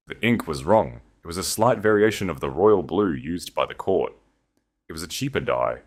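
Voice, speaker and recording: A man reads a historical romance audio story with a dramatic, measured delivery. His voice is very deep and low. The narration is scripted and steady, built for listening.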